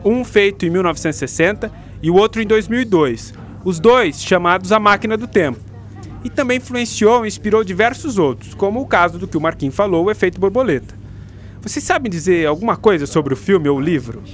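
An actor playing a patient, enthusiastic school teacher with a Brazilian accent, lecturing in a radio drama. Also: male voice, high-pitched, animated, a little fast. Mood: explaining. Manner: didactic, explanatory.

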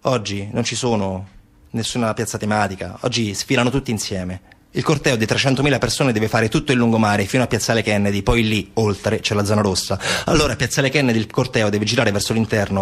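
A man's voice: lowish voice, fairly even intonation, quick pace, breathy edge. A man, a stage actor, narrating a monologue in short declarative phrases with emphatic repetition.

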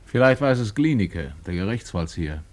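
An actor playing an inquisitive man in a German radio drama, probing with measured, insinuating questions. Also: lowish voice, big pitch swings.

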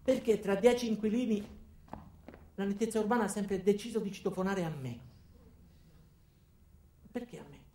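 A man narrating a first-person comic monologue as an actor, in a deadpan, terse manner. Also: sits high, normal speed, long pauses, echoey room, slight background noise.

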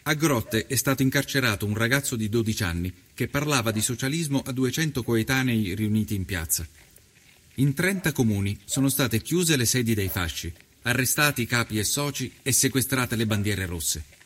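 An actor playing an earnest 1890s journalist, narrating his travels through Sicily in a measured, literary documentary style. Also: medium pitch, animated, a bit bright. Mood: sober, reflective. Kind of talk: scripted documentary narration, read as a first-person travel report.

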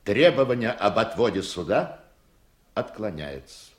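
An actor playing a stern judge in a radio play, speaking formally and with measured weight. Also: male voice, fairly low pitch, sing-song, echoey room. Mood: solemn. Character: stern, deliberate male judge presiding in court. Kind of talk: Soviet radio play acting.

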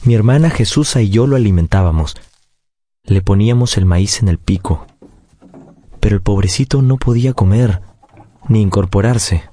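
An actor narrating a radio drama as a grown man recounting his childhood, in a measured, solemn and tender way. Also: lowish voice, warm tone, lightly acted. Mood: solemn, wistful.

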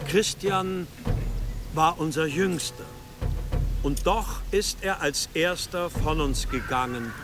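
Stern, commanding medieval abbot. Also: male voice, a little high, slow, long pauses, over music. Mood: solemn, mourning. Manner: authoritative, curt. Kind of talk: German radio drama acting.

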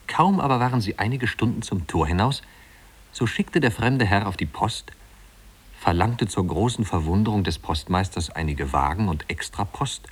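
Brief: male narrator, very low voice, wry and leisurely, looking back on small-town youth in a vintage radio play. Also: breathy edge. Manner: leisurely, wry, conversational storytelling. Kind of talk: 1950s German radio drama narration.

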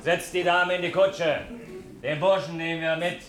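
An actor in a German radio play voicing a curt, drawling robber leader who gives commands. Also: male voice, on the high side, steady tone, normal speed, noisy background, close to an everyday voice. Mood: menacing, commanding. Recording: echoey, music behind.

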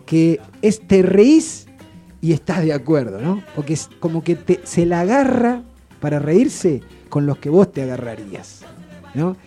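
A man talks on a radio show in a loose, rambling way, restarting his sentences as he goes. His voice is deep-toned and very dark, a little higher than most men's. Soft music plays faintly behind him. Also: big pitch swings, a little slow. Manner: rambling, chatty storyteller with many restarts. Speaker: radio guest presenting authors. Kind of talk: casual radio chat.

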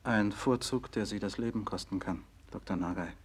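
An actor playing a respectful, concerned physician and loyal former pupil in a 1960s German radio play, speaking formally and deferentially. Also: male voice, lowish voice, moderate pace, husky. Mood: worried.

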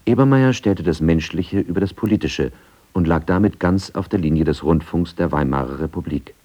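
A man narrating a radio documentary from a script in a measured, factual manner. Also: low voice, dark tone, dull audio.

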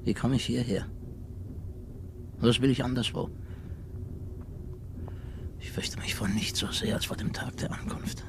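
Creaky, brooding ageing cashier. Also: male voice, mid-range voice, a little slow, long pauses, whispered. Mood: brooding, fearful. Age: older. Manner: ruminating, self-deprecating, formal. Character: weary, brooding, timid ageing former cashier, a stowaway. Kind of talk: 1950s radio drama acting. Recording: noisy, aged 1950s radio recording.

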